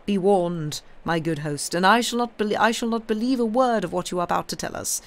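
A woman's voice: medium pitch, a little fast, pitched above the performer's own voice, close to an everyday voice. A woman reading aloud, voicing a playful, ironic young male aristocrat who spins grand schemes. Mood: mocking.

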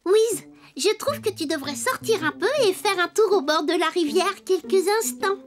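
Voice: very high-pitched, lively intonation, normal speed. An actor voicing a cheerful, kind little boy in a French preschool cartoon, explaining things with enthusiasm. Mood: reassuring. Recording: music under the voice.

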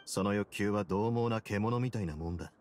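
A man playing a shrewd, self-assured prison-fortress duke in a game, speaking casually and with measured assurance. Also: on the low side, darkish tone, a little hiss or hum, lightly acted. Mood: assured, reflective. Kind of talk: game dialogue acting.

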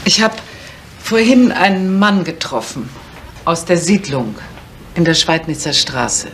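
An echoey, noisy vintage radio play. a soothing, slightly evasive woman, speaking slowly. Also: fairly low pitch, sing-song, fairly bright tone. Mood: hesitant. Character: evasive, soothing woman close to a young man. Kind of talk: 1970s German radio drama acting.

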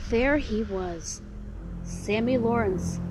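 A caring young sister acted by a woman speaks with weary, measured drama in a comic dub. Her voice is fairly high, with clear music underneath. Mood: somber. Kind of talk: amateur comic dub acting.

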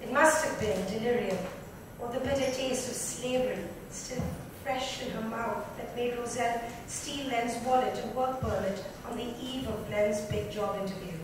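A woman's voice: on the high side, fairly even intonation, moderate pace. A woman giving a dramatic reading of literary fiction. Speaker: book reader. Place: indoor club lounge. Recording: echoey room, distant mic, background noise.

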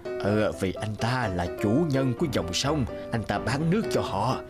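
A man voicing a weary, humble old water carrier with a low, hesitant voice in an animated folk tale. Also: normal speed, over loud music, lightly acted. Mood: deferential, explaining.

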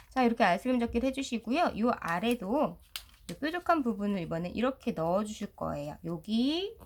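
A middle-aged woman teacher giving a step-by-step craft tutorial in a polite, explanatory way. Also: medium pitch. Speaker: children's storytelling teacher and video host.

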